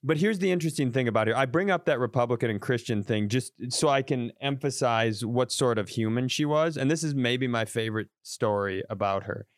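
A man tells a story in casual podcast chat, animated and quick to swear, often breaking off and restarting his sentences. His voice sits mid-pitch and sounds dry and close, with no room around it. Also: dry recording. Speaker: comedian podcast host.

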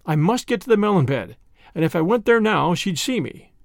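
A man voicing a brave, fierce young male mongoose in a storybook reading, brisk and determined. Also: high voice, big pitch swings, gravelly, no room sound, clean studio sound, full character voice. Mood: impatient.

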